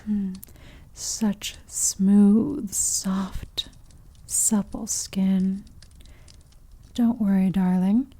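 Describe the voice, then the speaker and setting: Woman acting a slow, dark-voiced sadistic vampire mistress. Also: medium pitch, steady tone. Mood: menacing, seductive. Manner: slow, purring, condescending endearments, breaking into breathy whispers. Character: sadistic possessive female vampire, seductive and commanding. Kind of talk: ASMR roleplay.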